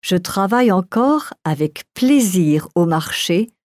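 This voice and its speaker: A woman with a mellow, fairly low voice reads a language-course script slowly and carefully. The recording is close and dry. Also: animated, dry recording. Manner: careful, clearly articulated. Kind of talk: slow scripted reading for learners.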